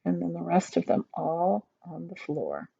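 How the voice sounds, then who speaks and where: A woman's voice: fairly low pitch, big pitch swings, unhurried, creaky, muffled recording, noisy background. A woman reading a story aloud to children like a teacher, expressively, with simple explanatory asides.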